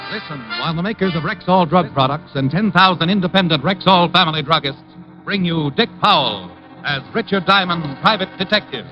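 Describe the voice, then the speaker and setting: A man's voice: a little high, animated, a little fast. A man introducing a 1950s radio show as announcer, formal and declamatory. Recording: dull vintage radio broadcast. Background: music.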